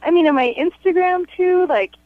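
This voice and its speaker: A woman's voice: extremely high pitch, normal speed, no room sound. A woman in her thirties calling a radio show, telling her story casually and emphatically with lots of "like" and some disbelief. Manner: incredulous, emphatic, heavy use of "like". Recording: dull telephone line on radio.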